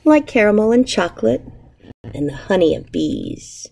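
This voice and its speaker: A woman in her thirties, an elementary teacher reading a picture book aloud to children with measured, expressive storybook phrasing. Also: mid-range voice, gravelly, breathy edge. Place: indoors, empty school classroom.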